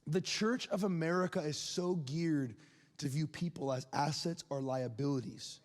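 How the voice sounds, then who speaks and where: A man's voice: fairly high pitch, moderate pace, long reverb tail. A man in his late twenties preaching a sermon, speaking candidly and conversationally, with laughs and asides to the crowd. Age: 20s.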